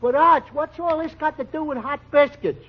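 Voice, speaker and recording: An actor playing a slow-witted, amiable tavern regular in 1940s radio comedy, halting and muddled in a cheerfully clueless way. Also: male voice, very high voice. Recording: dull, noisy 1940s radio recording.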